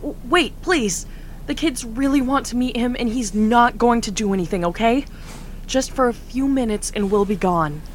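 A woman's voice: a little high, normal speed, a little hiss or hum, lightly acted. A woman playing an earnest young charity worker, hesitant and eager, with some stammering. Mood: pleading. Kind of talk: audio drama acting.

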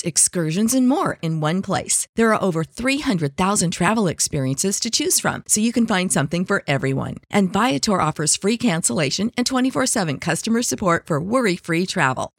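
A woman's voice: mid-range voice, no room sound, studio quality. A woman announcer narrating a scripted commercial. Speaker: advert voice-over announcer. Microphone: close, dry studio voice-over.

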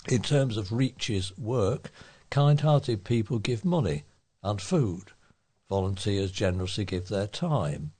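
A man with a husky voice, reading local newspaper articles aloud as a volunteer reader. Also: fairly low pitch, a little mellow.

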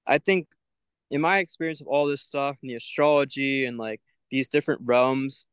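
A man's voice: mid-range voice, normal speed, a bit bright, clean tone, dry recording. A young man as a podcast guest, talking in a thoughtful, searching way with many "like" fillers. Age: young adult. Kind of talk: reflective spiritual conversation.

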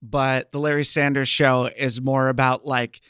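A man's voice: medium pitch, flat delivery, measured pace, pushed and bright, clear, resonant tone, dry recording, clean studio sound. A man co-hosting a podcast, chatting casually about television. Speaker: podcast co-host. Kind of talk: casual TV review podcast chat.

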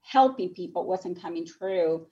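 A woman's voice: on the low side, expressive, measured pace, dark tone. Woman, middle aged, passionate radio guest, kinda dull lo-fi sound. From Nicaragua. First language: Spanish. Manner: passionate and earnest. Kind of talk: talk radio interview.

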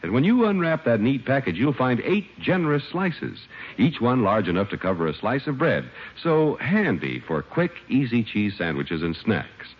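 Very animated male 1950s radio announcer. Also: mid-range voice, normal speed, husky. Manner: emphatic, polished salesmanship. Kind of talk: 1950s radio advertising and scripted narration. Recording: muffled old radio recording.

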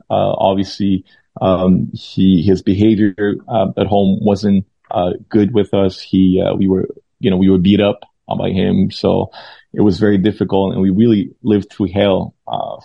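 A man's voice: low voice, vocal fry, mellow, no echo. A man in his thirties from Lebanon, a pastor telling his life story in an interview, speaking hesitantly with many fillers and restarts. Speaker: pastor and guest. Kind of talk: testimony interview. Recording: dull lo-fi dry audio.

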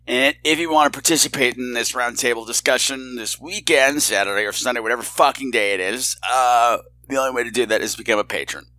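A man's voice: mid-range voice, quick pace, hardly any pauses, sharp tone, no echo. A man hosting a podcast with a casual, rambling introduction, informal and scattered with theatrical flourishes. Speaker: podcast host.

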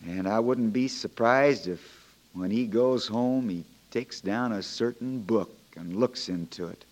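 An actor in a 1940s radio drama playing a young frontier lawyer, folksy, plain-spoken and wry but firm. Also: male voice, on the low side, measured pace, a little mellow. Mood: earnest, persuasive. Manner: folksy, plain-spoken, joking, homespun turns of phrase.

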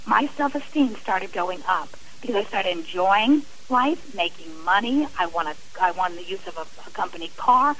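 A woman's voice: mid-range voice, a bit bright, slight background noise, poor audio. A middle-aged woman guest on a radio interview, speaking earnestly and from the heart about her own experience, often restarting her sentences. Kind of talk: radio interview, personal account.

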